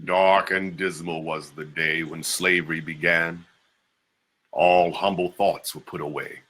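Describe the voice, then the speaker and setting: A man giving a slow, solemn dramatic reading of an old address and poem. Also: low voice, little pitch movement, a bit bright. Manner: slow, measured, solemn recitation. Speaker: reader of historical texts.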